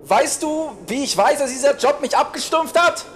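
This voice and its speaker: A man's voice: extremely high pitch, normal speed, a little hiss or hum, voice pushed up. A man voicing a weary, sardonic, exasperated colleague in an audio story, with a dry sarcastic delivery.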